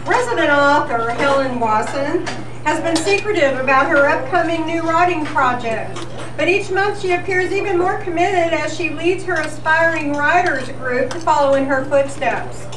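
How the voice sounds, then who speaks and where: A woman's voice: high-pitched, moderate pace. A woman hosting a live show as a radio news reporter, reading scripted old-time radio news in a senior center hall. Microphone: picked up from far off. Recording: roomy, noisy, distant live event recording.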